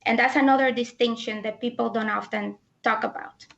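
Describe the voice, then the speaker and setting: High, gravelly-voiced woman, native Spanish speaker. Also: steady tone, brisk. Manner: earnest, partly reading from notes. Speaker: Spanish interpreter, panel guest. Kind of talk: opinionated panel discussion. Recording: dull lo-fi sound.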